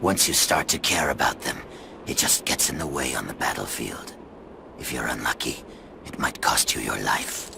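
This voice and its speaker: An actor playing a hard, cynical lone warrior in a video game cutscene, terse and menacing with a gravelly voice. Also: male voice, extremely low pitch, animated, normal speed, full character voice. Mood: cool, sardonic. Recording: noisy game cutscene audio.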